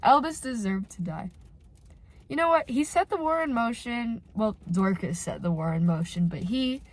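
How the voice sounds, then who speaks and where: A woman's voice: a little high, normal speed, no room sound. Stuffy-nosed woman gushing in her car. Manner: gushing and excitable, many filler "like"s. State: stuffy and nasal from a cold. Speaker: channel host reacting to fan fiction. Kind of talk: casual reaction vlog. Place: inside a parked car.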